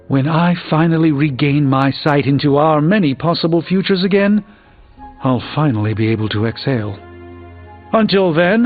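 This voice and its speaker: Proud male sorcerer, formal, measured, wistful, theatrical game dialogue, muffled audio, music behind. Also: on the high side. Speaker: video game voice actor. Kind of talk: dramatic video game dialogue.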